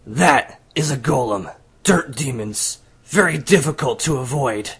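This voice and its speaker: Man playing husky, secretive teenage dragon demon. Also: medium pitch, expressive, a little slow, fairly bright tone, exaggerated voice. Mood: commanding. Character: teenage-looking male water dragon demon, secretive, calm, protective. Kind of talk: amateur audio drama acting.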